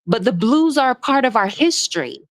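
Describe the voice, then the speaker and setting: A woman from Jamaica calling in to a live show, speaking earnestly and at length, with many pauses and "um"s. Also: fairly high pitch, big pitch swings. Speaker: caller. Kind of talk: casual call-in commentary.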